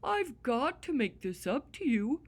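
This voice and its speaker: Woman playing a cheerful chatty female dog, bubbly, soft, storybook character, slow-paced. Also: high-pitched, very animated, voice pushed up, exaggerated voice.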